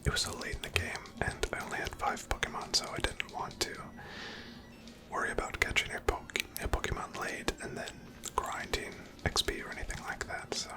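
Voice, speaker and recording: This is a breathy, fully whispered voice with almost no tone in it. The speaker narrates their own video game playthrough in ASMR style, gently musing aloud in an unhurried, conversational way. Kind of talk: whispered ASMR gameplay commentary.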